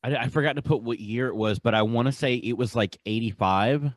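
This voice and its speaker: A man's voice: medium pitch, fairly quick, no room sound. A young man co-hosting a podcast in casual chat, rambling and stammering as he repeats words. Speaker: podcast co-host.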